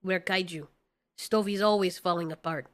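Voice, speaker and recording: A woman's voice: lowish voice, steady tone, normal speed, pitched below the performer's own voice, natural voice. A woman playing a laid-back, sarcastic male flying kaiju with a casual, wry way of talking, in an audio story. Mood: unbothered.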